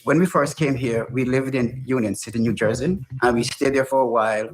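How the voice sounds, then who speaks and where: An older man, a father, telling animated stories in a documentary interview. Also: mid-range voice, brisk, soft background music, rough recording. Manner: animated storytelling, acts out quoted dialogue.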